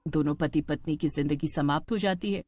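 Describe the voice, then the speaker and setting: A woman narrating a scripted horror cartoon story in an expressive storytelling style. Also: sits low, a little fast, no echo.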